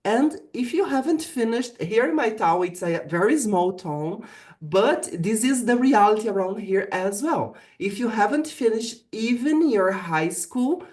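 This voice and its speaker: A woman from Brazil, a native Portuguese speaker with a Brazilian accent, giving a prepared talk as an English teacher in an earnest, deliberate manner. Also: on the high side, animated, darkish tone. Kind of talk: prepared virtual summit presentation.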